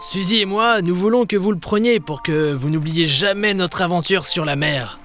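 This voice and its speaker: A man's voice: sits high, normal speed, fairly bright tone, faint music behind. A man voicing an adventurous, commanding ghost sea captain in a children's cartoon, with a hearty, theatrical delivery. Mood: joyful. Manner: hearty, theatrical, barks nautical orders.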